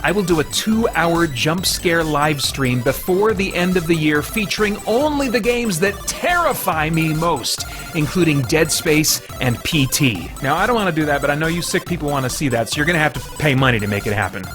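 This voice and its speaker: High-voiced man hosting over music. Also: expressive, moderate pace. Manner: playful, self-deprecating. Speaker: host of a geek-culture review channel. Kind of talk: upbeat scripted review outro and fundraiser pitch.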